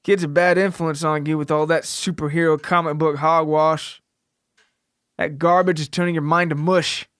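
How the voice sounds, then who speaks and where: A man's voice: on the high side, little pitch movement, moderate pace, a bit bright, clean tone, no room sound, clean studio sound, close to an everyday voice. A man voicing a scruffy, bullying father in a narrated story, folksy, mocking and threatening. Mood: scornful. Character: scruffy, menacing, hard-drinking father, bullying and scornful.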